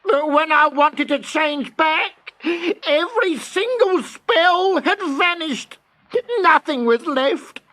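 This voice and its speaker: A frightened fairy woman from a children's storybook. Her voice is high and piercing, with long, wailing, drawn-out words. She speaks very slowly and with great drama. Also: lively intonation, bright, forceful tone, full character voice. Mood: distressed, wailing. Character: frightened good fairy girl trapped in a witch's shape. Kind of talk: children's audio storybook character voice.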